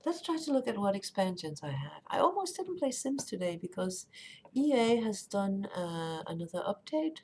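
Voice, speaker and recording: Woman, Danish first language, casual live-stream chatter, rambling, mid-pitched voice, faint hiss. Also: big pitch swings, normal speed, darkish tone. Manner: chatty, rambling, reads chat aloud. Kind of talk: casual live-stream chat while gaming. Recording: faint background noise.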